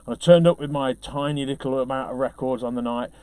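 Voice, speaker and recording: A man's voice: medium pitch, fast, warm tone, smooth, no room sound. Chatty man telling stories, dry close sound. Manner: chatty, self-deprecating. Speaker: DJ being interviewed. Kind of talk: casual anecdotal storytelling.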